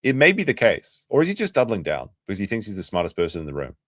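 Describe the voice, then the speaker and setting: Aussie streamer bloke, low voice, swings up and down a lot, dry close mic. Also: male voice, huge pitch swings, fast, no echo. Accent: Australian. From Australia. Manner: chatty, sarcastic, laughs a lot. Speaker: streamer reacting to clips. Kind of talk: casual livestream commentary.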